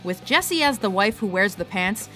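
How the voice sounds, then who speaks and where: A woman's voice: mid-range voice, lively intonation, moderate pace, a bit bright, soft background music. A woman reading scripted narration as the presenter of a countdown video.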